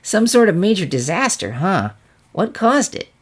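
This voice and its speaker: A woman's voice: medium pitch, sing-song, moderate pace, slightly rough. A woman voicing a brash, playful younger pegasus pony mare with a breezy, teasing manner in a story reading. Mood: casual, curious. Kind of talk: fan fiction character reading.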